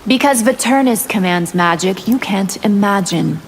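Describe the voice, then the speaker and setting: A woman's voice: mid-range voice, moderate pace, heavy background noise, lightly acted. An actress playing a haughty, menacing winged vampire woman in a fighting video game. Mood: proud. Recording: loud music behind the voice.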